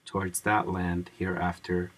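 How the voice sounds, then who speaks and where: A man reading a devotional audiobook as narrator, in a measured and solemn manner. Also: low-pitched, flat delivery, slightly rough.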